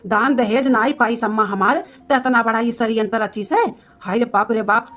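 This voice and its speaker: A woman's voice: fairly high pitch, fairly bright tone. A woman voicing a hot-tempered, domineering cartoon husband with an Awadhi accent, in a blustering manner. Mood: shocked remorse. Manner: scolding, blustering. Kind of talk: amateur cartoon dialogue.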